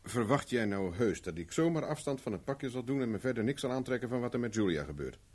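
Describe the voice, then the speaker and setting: A man's voice: medium pitch, lively intonation, fast talker, darkish tone, slightly rough. A man acting in a 1970s Dutch radio play as a dry, stubborn private investigator with a laconic, sardonic manner.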